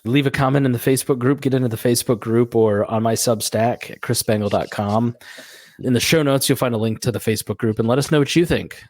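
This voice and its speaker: A man with a raspy, worn-out voice, hosting a casual political podcast in a loose, conversational way. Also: medium pitch, a little mellow, no echo. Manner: loose, conversational, quick to joke. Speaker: podcast host.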